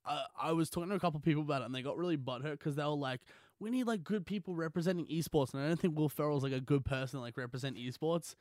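High-voiced young man chatting casually. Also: steady tone, very fast, hardly any pauses, no room sound, studio quality. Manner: slangy, filler-heavy, frequently says "like" and "dude". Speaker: podcast co-host. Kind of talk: casual banter.

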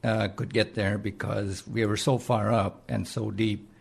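A man in his seventies from the Philippines speaks with a Philippine accent. His voice sits low, gravelly and husky. He talks haltingly, with frequent pauses. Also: flat delivery, a little mellow. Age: 70s. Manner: hesitant, halting with many pauses. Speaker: interview guest, retired army colonel. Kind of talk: conversational interview.

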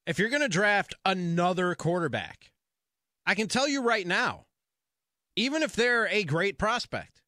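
A male sports radio host with a high, forceful voice. He argues his opinions insistently and repeats phrases to drive them home. The sound is close and dry, as in a broadcast studio. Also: dry recording. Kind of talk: opinionated sports talk monologue. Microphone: close broadcast studio mic.

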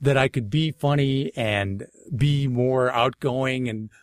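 A man's voice: medium pitch, slow, no echo, studio quality. A middle-aged man from Massachusetts chatting casually as an interview guest. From Massachusetts, United States. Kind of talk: casual chat.

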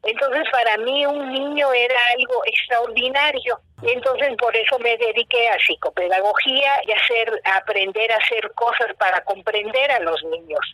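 Bright-voiced older woman telling stories. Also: a little high, normal speed, few pauses, rough recording. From Guatemala. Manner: warm storytelling, animated, playful. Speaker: guest, children's writer. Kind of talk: casual interview.

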